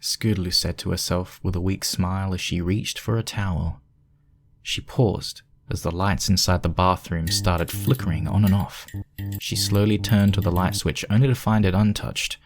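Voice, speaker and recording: Low-pitched male story narrator. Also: fairly even intonation, moderate pace.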